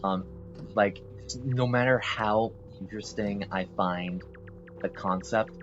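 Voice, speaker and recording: A man's voice: lowish voice, slow pace, highs cut off, music in the background. A man rambling casually and apologetically while playing a video game, full of fillers and restarts. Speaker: gameplay video host. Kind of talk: rambling casual commentary over gameplay.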